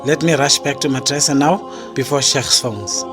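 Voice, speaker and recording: Dutiful gentle son guy acting, mid pitch voice, radio drama, bit of music. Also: normal speed, close to an everyday voice. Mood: caring.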